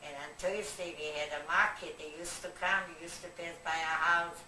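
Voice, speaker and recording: Elderly Yiddish-native grandmother, low voice, rambling memories, distant on an echoey, noisy home video. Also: fairly even intonation, a little fast, sharp tone. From Eastern Europe. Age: older. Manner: rambling, reminiscing, often asks to repeat. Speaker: grandmother recalling her youth. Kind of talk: home video family interview. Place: family home. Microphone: home video camera from across the room.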